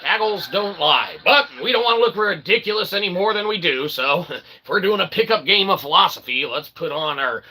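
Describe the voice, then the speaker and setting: Bright, forceful male comic host, noisy recording. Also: on the high side. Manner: theatrical mock showman, tongue-in-cheek asides. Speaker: host of a comic philosophy show. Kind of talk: rambling comedic monologue.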